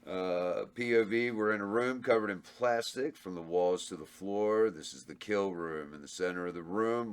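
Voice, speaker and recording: A man reading stage directions aloud at a table read, laid-back and chuckling. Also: low-pitched. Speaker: script reader and cast member.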